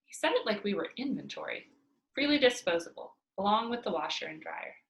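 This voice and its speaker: A woman's voice: medium pitch, big pitch swings, brisk, gravelly. A woman reading a children's novel aloud expressively, as a storyteller at home. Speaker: read-aloud narrator. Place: indoors at home by a fireplace. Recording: roomy home recording.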